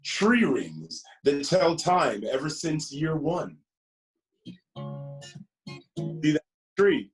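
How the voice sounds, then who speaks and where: Slow-paced man reading a bedtime picture book. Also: a little high, wildly swooping pitch, soft background music, poor audio. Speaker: storybook reader. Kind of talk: expressive read-aloud of a children's picture book with asides to the listener.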